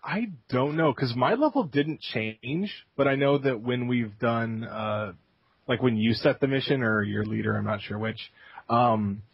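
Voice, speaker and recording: A man's voice: mid-range voice, unhurried, creaky, husky, dry recording. A man co-hosting a casual gaming podcast, easygoing and a bit hesitant. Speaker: podcast co-host. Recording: dull lo-fi sound.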